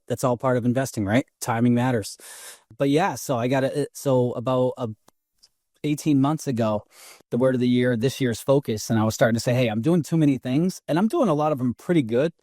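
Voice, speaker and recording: A man's voice: mid-range voice, fast talker, a little mellow, no echo, clean studio sound. A man in his forties with a slight Boston accent, chatting candidly as a podcast guest. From Brockton, Massachusetts, USA. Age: 40s. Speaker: podcast guest, real estate investor. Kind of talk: casual personal storytelling.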